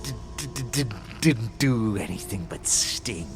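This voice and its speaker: A man's voice: lowish voice, lively intonation, unhurried, music in the background. A man voicing a chittering dead wererat skull in a fantasy audio drama, stuttering and giggling nervously. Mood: giggly, boastful. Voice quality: chittering, creaky.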